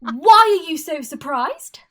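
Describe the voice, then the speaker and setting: A woman's voice: high voice, big pitch swings, slow, full character voice. A woman playing a vain, melodramatic, flirtatious glamour queen with an affected, theatrical manner in a radio play. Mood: indignant. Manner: theatrical, affected, gasping and shrieking.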